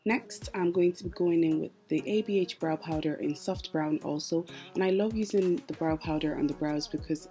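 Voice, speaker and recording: A woman with a distinctly low, mellow voice narrates a makeup tutorial. She is chatty and explanatory, talking casually to her viewers as she walks through the steps. Light music plays behind her. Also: moderate pace, few pauses. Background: background music.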